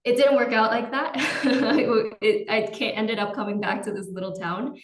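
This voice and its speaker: A woman's voice: a little high, fairly even intonation, normal speed, roomy sound. A young adult woman from Argentina, a podcast guest chatting casually and laughing easily. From Salta, Argentina. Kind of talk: casual interview chat. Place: at home in Salta.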